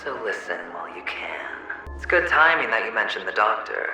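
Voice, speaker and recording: An actor playing a taunting inner double of a young gambler in a video game, theatrical and sneering with drawn-out phrasing, chuckles and an echo effect. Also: male voice, fairly low pitch, very animated, pushed and bright, full character voice. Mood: taunting, gleeful. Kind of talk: dramatic video game acting. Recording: echoing voice under clear music.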